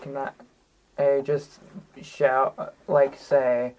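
A woman's voice: very deep voice, flat delivery, slow pace, dark tone, dull audio. A woman playing a tabletop game, chatting casually as she says what her character does. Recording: noisy group recording with clicks.

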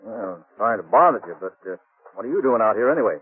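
Husky, plainspoken frontier sheriff. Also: male voice, on the low side, lively intonation, fast talker. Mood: friendly, businesslike. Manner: folksy, matter-of-fact. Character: plainspoken, determined frontier sheriff leading a posse. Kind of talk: 1950s radio western acting. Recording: dull old 1950s radio recording.

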